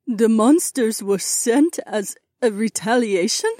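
Casting: male reader as kindly, worried Korean wife, mellow and unhurried, formal careful speech, audiobook story. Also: very high-pitched, animated, no echo, clean studio sound, voice pushed up. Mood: shocked. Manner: careful, formal, hesitant phrasing. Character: gentle anxious Korean woman, polite and soft-hearted.

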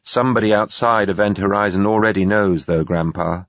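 A man's voice: fairly low pitch, brisk, no echo, lo-fi sound, voice pushed up, natural voice. A man voicing a spirited, protective young granddaughter and heiress in an audiobook, insistent and tart. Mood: quietly insistent.

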